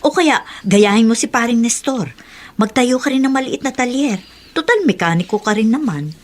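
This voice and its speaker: An actress playing a practical, principled wife and mother in a radio drama, persuasive and quick to exclaim. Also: on the high side, lively intonation. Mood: persuading, coy. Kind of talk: Tagalog radio drama acting.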